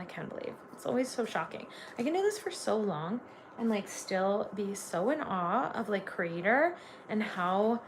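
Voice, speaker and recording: A woman's voice: a little high, slight background noise. A woman from Canada giving a live tarot reading, chatty and gushing, full of "like" fillers. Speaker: tarot reader.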